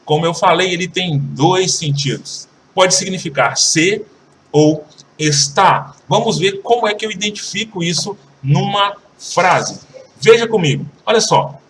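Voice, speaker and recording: A Brazilian man with a fairly high voice teaches an English lesson, repeating each example slowly and carefully. He sits a little back from the mic, and faint noise hums behind him. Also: lively intonation, fairly bright tone, recorded from a distance. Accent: Brazilian Portuguese. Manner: slow, careful repetition of example sentences.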